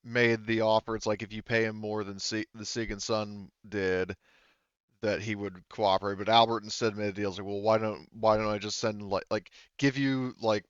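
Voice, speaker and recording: A man running a tabletop roleplaying game as game master, explaining things haltingly and thinking aloud. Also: lowish voice, steady tone, clear, resonant tone, no echo, muffled recording.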